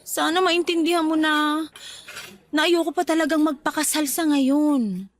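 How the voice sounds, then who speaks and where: A woman's voice: sits high, measured pace. A woman acting as a playful but hesitant young girlfriend in a Tagalog radio drama. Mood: apologetic, reluctant.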